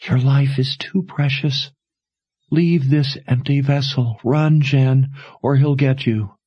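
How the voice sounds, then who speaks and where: Male reader playing a gentle dying mother, hushed and breathy, slow. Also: mid-range voice, steady tone, dry recording, dull audio, pitched above the performer's own voice. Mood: tender. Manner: soft, breathy, whispered urging.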